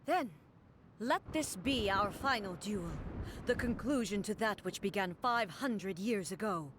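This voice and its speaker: A stately female warrior sovereign from a game, at a medium pitch. She speaks gravely and formally, in measured phrases, over a faint rumble. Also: expressive, a bit bright. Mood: resolute. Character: solemn, stately, ageless female warrior ruler. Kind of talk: animated game voice acting.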